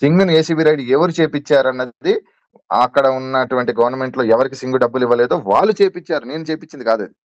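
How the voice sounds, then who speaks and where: A man's voice: medium pitch, very fast, no echo, muffled recording. A man being interviewed on a recorded call, protesting insistently and repeating himself as he defends his position.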